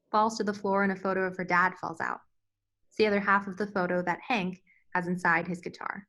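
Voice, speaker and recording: A woman narrates a screenplay at a table read. She reads scene headings and action in a medium-pitched voice. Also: fairly bright tone. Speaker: stage-direction narrator. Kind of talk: scripted narration, screenplay reading.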